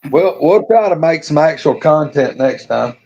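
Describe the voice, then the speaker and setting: A man in his fifties talks casually on a live stream, hesitant and filling his pauses. His voice has a middle pitch. It arrives through dull, lo-fi video-call audio. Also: animated, creaky, darkish tone. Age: 50s. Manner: hesitant, fills with "uh". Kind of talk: casual chat.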